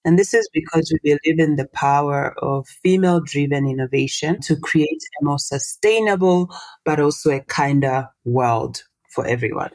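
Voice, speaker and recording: A low-pitched woman, a Swahili speaker in her thirties, talking in a relaxed interview. She explains things in an animated way and puts questions to her listener. The sound is close and dry, with no room around it. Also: normal speed, no echo. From Tanzania. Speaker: guest, social entrepreneur. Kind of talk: casual interview conversation.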